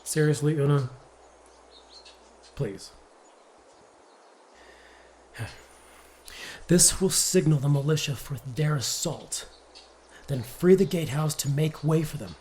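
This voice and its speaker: A man's voice: on the high side, slow, pauses often, darkish tone, slight background noise. A man playing an authoritative, easily exasperated party leader giving emphatic orders in an audio drama. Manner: emphatic, commanding.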